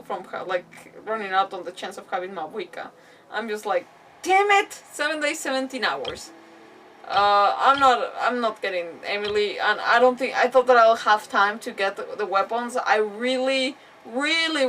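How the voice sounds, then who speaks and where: A woman doing casual livestream game commentary, rambling, expressive and often interrupting herself. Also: on the high side, far from the mic, a little hiss or hum, poor audio.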